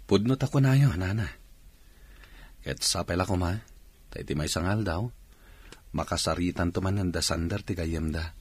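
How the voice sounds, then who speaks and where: A man acting a polite, good-natured young bachelor with a husky voice in an Ilocano radio drama. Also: low voice, moderate pace, pauses often, a little mellow, dry recording. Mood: respectful.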